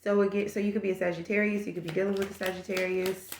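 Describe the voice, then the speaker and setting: A woman's voice: medium pitch, level tone, rapid-fire, reverberant, background noise. A woman with a stuffed-up nose giving a casual tarot reading, musing aloud and rambling through possibilities. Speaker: tarot reader.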